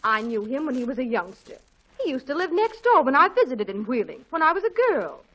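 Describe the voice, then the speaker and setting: A woman's voice: high voice, sing-song, fairly quick, fairly bright tone, breathy edge, exaggerated voice, low-quality recording. A middle-aged woman acting a mild, chatty mother with an American accent, genteel and a little flustered, in a 1940s radio sitcom.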